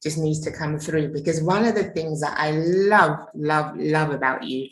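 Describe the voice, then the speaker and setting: A woman's voice: deep voice, normal speed, roomy sound. A woman hosting an interview over a video call, effusive and admiring as she asks her questions. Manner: effusive, admiring, repeats praise. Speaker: interviewer, host.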